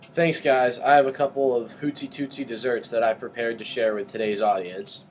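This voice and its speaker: A man's voice: medium pitch, steady tone, normal speed, slight background noise, close to an everyday voice, rough recording. A man playing a cheerful 1920s radio chef in a scripted cooking segment.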